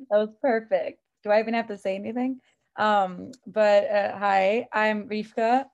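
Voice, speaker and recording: A woman's voice: medium pitch, fairly even intonation, a little slow, darkish tone, muffled recording. A woman from the Chicago area introducing herself as a project's creative consultant, chatty and laughing with many fillers. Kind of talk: casual group introduction.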